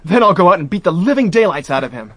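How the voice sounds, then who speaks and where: Brash excitable young diplomat, slightly raised pitch, animated. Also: male voice, quick pace, no echo, heavily acted. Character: young American man, brash diplomat nephew. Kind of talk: radio drama acting.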